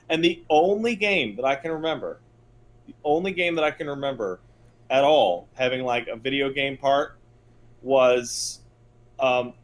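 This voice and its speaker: A man co-hosting a casual podcast, ranting with emphatic, hyperbolic exasperation. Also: mid-range voice. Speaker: podcast co-host.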